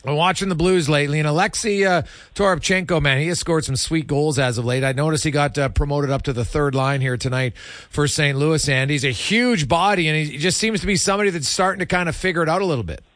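A man's voice: on the high side, fast, hardly any pauses, fairly bright tone, dry recording, studio quality. A man hosting a casual sports talk interview, chatty and colloquial as he thinks aloud. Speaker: sports radio host.

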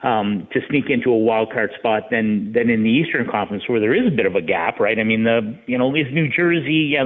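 Phone-line sound, man, mid-pitched voice, casual sports analysis, rambling halting delivery. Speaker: sports writer guest. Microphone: phone call. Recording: tinny narrow phone line.

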